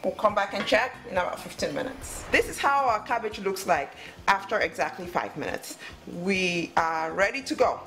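A woman's voice: medium pitch, lively intonation, moderate pace, a bit bright. A woman hosting a cooking show, giving step-by-step instructions in short phrases. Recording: music under the voice.

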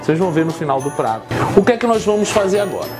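A man's voice: fairly high pitch, very animated, rapid-fire. Talkative chef man, music behind. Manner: talkative, chatty, explains step by step. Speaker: chef and TV presenter. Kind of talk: interview then cooking demonstration. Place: small restaurant dining room, then kitchen. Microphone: lapel.

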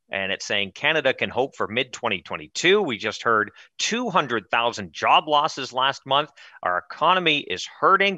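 Energetic male talk-show host, bright voice. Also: medium pitch, no echo, highs cut off. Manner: energetic, emphatic, gestures while talking. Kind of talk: political talk show hosting. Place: studio with branded backdrop, indoors. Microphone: headset.

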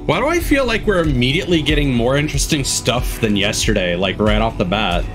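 A male streamer reacts casually as he hosts. His pitch is middling and jumps around animatedly, with showcase music running behind him. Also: big pitch swings, brisk. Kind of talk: casual live reaction commentary. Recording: music and trailer audio behind. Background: game showcase music.

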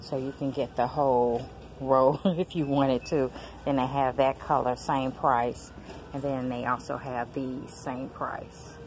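Lady w a low voice doing a shop-with-me vlog on her phone, chatty and excited, quiet store hum behind. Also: measured pace. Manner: chatty, excited exclamations, addresses viewers as y'all and girl. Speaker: vlogger. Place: large discount store aisles, indoors. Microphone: handheld phone camera.

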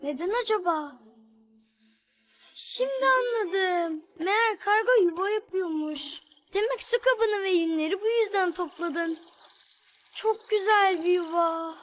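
A curious happy little girl for a kids cartoon, super high n bright, expressive. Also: takes it slowly, full character voice. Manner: expressive, talks aloud to her pets. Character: cheerful, curious little girl who loves animals. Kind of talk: children's cartoon voice acting.

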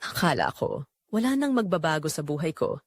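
A woman playing a poor, devoted mother burdened by guilt, narrating an audio drama in an earnest, measured, confessional way. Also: low voice, sing-song, no room sound, exaggerated voice. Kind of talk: scripted dramatic first-person narration.

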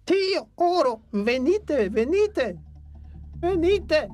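A woman voicing an excitable young male pterosaur in a cartoon, calling out eagerly and breathlessly. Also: high-pitched, drawn-out words, heavily acted. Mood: excited.

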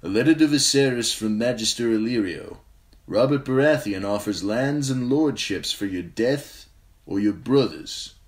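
A man reading an audiobook, voicing a grim, loyal knight who speaks in a measured, terse way. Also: mid-range voice, lively intonation, pitched above the performer's own voice, lightly acted.

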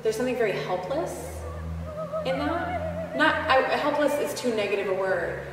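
A woman's voice: sits high, wildly swooping pitch, normal speed, over music. A woman in her thirties, an opera singer, talking thoughtfully and candidly about her work in a church sanctuary, filmed from a distance. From Boston. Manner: thoughtful, candid, self-deprecating. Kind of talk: reflective interview. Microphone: camera at a distance. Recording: echoey church heard from a distance.

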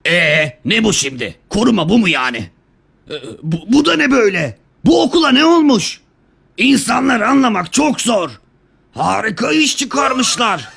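Cartoon villain: a sardonic virus chief, scheming and mocking, raspy fry, highly animated. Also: male voice, moderate pace, heavily acted. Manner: theatrical, sardonic. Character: cocky, scheming male virus boss, mocking and easily alarmed.

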